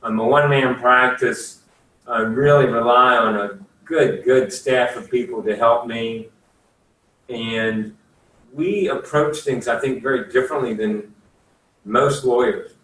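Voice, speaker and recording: A man's voice: mid-range voice, steady tone, unhurried, fairly bright tone. A man in his sixties, an attorney, talking casually at a career session in a chatty, folksy way, rambling with many fillers. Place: room at a career services office. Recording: echoey room, far from the mic, faint hiss.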